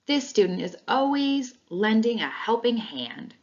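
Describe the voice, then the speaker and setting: Woman as female teacher, children's storybook, higher register, encouraging, announcing deliberately, dull recording. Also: big pitch swings. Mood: proud, encouraging. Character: encouraging female schoolteacher making proud announcements.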